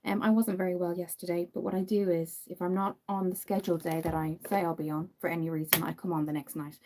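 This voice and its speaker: A young Irish woman from Dublin chatting casually on a livestream while doing her makeup, greeting viewers by name and filling pauses with frequent ums. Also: fairly low pitch, little pitch movement, noisy background. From Ireland.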